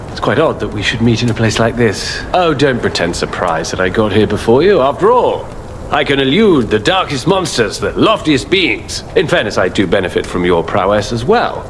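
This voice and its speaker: An actor playing a vain, boastful monster hunter with pompous, theatrical formal diction in a video game. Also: male voice, medium pitch, fairly bright tone, slight background noise.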